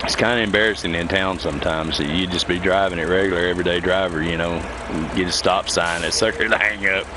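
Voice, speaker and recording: Man, deep pitch, folksy casual game streaming chat, engine rumble in the background. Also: steady tone, a little fast. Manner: folksy, rambling storytelling. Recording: loud vehicle engine noise behind voice. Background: idling truck engine, game vehicle sounds.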